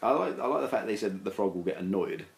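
A very low-voiced man explains his views in a loose, rambling way, full of fillers and restarts. His voice rings around an echoey room. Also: lively intonation, rapid-fire, gravelly. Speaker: podcast guest. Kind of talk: casual discussion.

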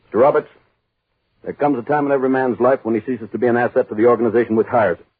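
A man's voice: medium pitch, fairly even intonation, racing, breathy edge, narrow, tinny audio, close to an everyday voice. A man acting in a 1940s radio drama as a cold, impatient newspaper boss, brusque, clipped and authoritative. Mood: cold, businesslike. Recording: thin 1940s radio recording.

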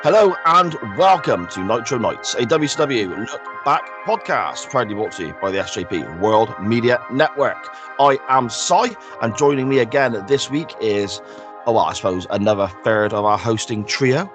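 A man hosting a casual podcast, a bit croaky and bunged up, chatty and quick to laugh. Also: medium pitch, animated, music in the background. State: croaky, congested. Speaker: podcast host. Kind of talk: casual chat.

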